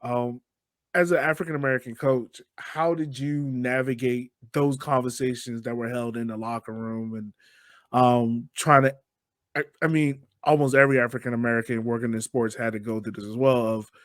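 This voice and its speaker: A man's voice: mid-range voice, dry recording, clean studio sound. A man hosting a podcast, asking questions in a casual, conversational style. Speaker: podcast host interviewer.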